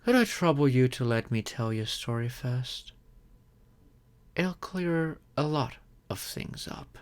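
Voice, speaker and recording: A man playing a wealthy, grateful vampire in an ASMR audio roleplay, speaking in a gentle, courteous and measured way. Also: mid-range voice, lively intonation, clear, resonant tone. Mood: solemn storytelling. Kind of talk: slow intimate ASMR roleplay monologue.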